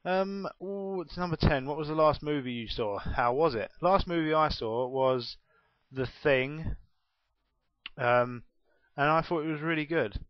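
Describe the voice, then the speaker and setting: A man's voice: on the high side, unhurried, no room sound. Chatty well-spoken young English man. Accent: well-spoken southern English. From England. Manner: chatty, self-mocking, laughs often. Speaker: gaming YouTuber. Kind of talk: casual vlog Q&A. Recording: dull, dry home recording.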